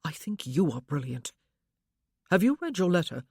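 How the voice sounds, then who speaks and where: Woman voicing a blind gentleman, steady and probing. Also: on the low side, moderate pace, gravelly, husky, dry recording, clean studio sound, heavily acted. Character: blind gentleman, warm and protective.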